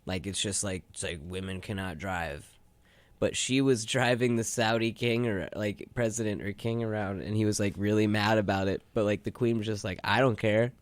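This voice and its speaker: Slightly low-voiced man in casual podcast chat. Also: normal speed, dry recording. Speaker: podcast co-host. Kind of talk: casual chat, anecdotal storytelling.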